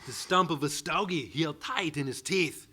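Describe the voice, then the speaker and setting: A man's voice: fairly high pitch, moderate pace, sharp tone, breathy edge. A man impersonating a brash, macho action star with an Austrian accent, emphatically reading a poem for comedy. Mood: boastful storytelling. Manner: blunt, boastful, emphatic. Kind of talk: comic live stage reading of a poem.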